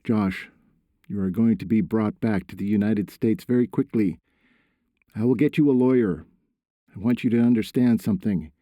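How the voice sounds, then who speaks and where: A man's voice: lowish voice, normal speed, mellow, dry recording, studio quality, lightly acted. A man voicing a worried father in an audiobook, stern but caring, earnest and urgent. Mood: firm.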